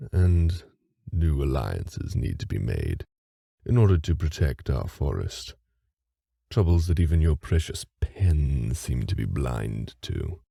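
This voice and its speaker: A man's voice: rumbling low, creaky, warm tone, husky, no echo, studio quality, full character voice. A man playing a haughty, aristocratic fae father in an audio drama, speaking formally and with cold condescension. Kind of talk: dramatic audio roleplay acting.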